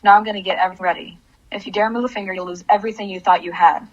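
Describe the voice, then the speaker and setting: A woman's voice: mid-range voice, steady tone, rapid-fire, muffled recording, a little hiss or hum, close to an everyday voice. An actress playing a grief-crazed, vengeful mother in a radio play, intense and commanding. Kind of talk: dramatic radio play acting with narration.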